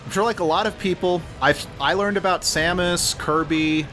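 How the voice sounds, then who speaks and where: A man's voice: sits high, moderate pace, a bit bright, soft background music. A man giving rambling, opinionated commentary in a casual gaming chat. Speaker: let's play commentator.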